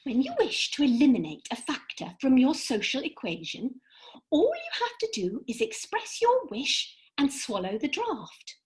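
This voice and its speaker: A woman plays a lofty fairy in a comic radio play. Her voice is high and swoops dramatically up and down as she lectures in brisk, precise, theatrical phrases. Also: sing-song. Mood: instructive, grave.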